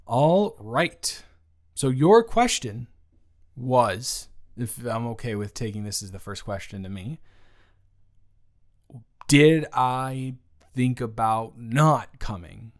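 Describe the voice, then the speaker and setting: Man playing a slow, halting, earnest ex-boyfriend. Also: medium pitch, animated. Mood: candid, hesitant. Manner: halting, stammering, with long pauses. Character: awkward, earnest, teasing young ex-boyfriend trying to reconcile. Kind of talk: improvised romantic audio roleplay, addressed to the listener.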